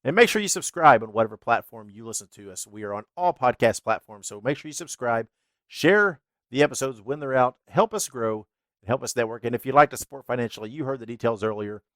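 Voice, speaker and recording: A man's voice: medium pitch, animated, fast, fairly bright tone, dry recording, studio quality. A man hosting a wrestling podcast, chatty and laughing, sounding stuffy and congested. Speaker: podcast host. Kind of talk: casual podcast outro.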